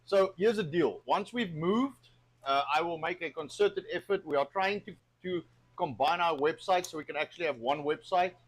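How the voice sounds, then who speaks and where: A South African man runs a live cooking show, talking casually as he works. He rambles with plenty of filler words and jokes freely. His voice sits a bit higher than most men's. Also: normal speed, breathy edge. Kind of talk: casual live-stream chat while cooking.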